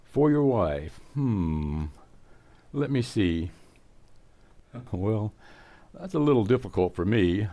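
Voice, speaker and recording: A man's voice: lowish voice, lively intonation, slow, long pauses, dark tone. A man playing a shy, flustered, lonely bachelor salesman who stammers bashfully in old-time radio comedy. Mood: modest, bashful.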